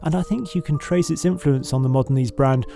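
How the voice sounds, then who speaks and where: A man narrating in a smooth, measured scripted voice-over. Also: fairly high pitch, mellow. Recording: voice-over with music underneath.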